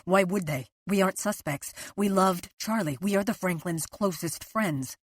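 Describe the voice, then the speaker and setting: A woman's voice: fairly low pitch, normal speed, no echo, clean studio sound, natural voice. A woman doing a stern, controlling husband. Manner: clipped, hushed commands. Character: controlling, tense husband hushing his wife. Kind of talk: audiobook character voice.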